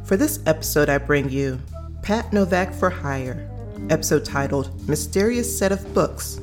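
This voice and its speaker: A man hosts with a scripted introduction. He presents the show in an announcer's format, with music playing clearly behind his voice. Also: on the high side. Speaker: podcast host.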